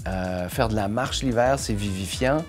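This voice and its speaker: A man who is an executive director, speaking in a relaxed, chatty way in an interview with fillers. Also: fairly low pitch, measured pace, soft background music. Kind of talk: interview testimony.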